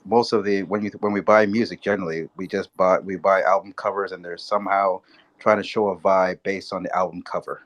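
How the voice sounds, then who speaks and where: A man joining a live discussion as a participant, talking casually in a rambling, halting way. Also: low voice, flat delivery, muffled recording. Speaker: audience participant.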